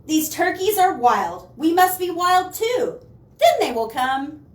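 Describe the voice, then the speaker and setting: A woman voicing a naive, excitable, silly male storybook character who exclaims simply. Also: very high voice, takes it slowly, echoey room, pitched above the performer's own voice, heavily acted.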